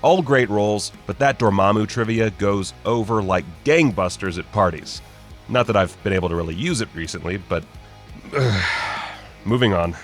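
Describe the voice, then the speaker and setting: Wry low-voiced man hosting over music. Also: normal speed. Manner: wry, sarcastic, jokey mock-casual delivery. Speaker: video host. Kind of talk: scripted list-video narration with joking asides.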